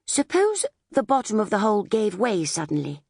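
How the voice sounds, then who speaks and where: A woman voicing eager, excitable English children in a storybook, with an exclaiming manner. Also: medium pitch, moderate pace, gravelly, husky, no room sound, clean studio sound, pitched above the performer's own voice, heavily acted.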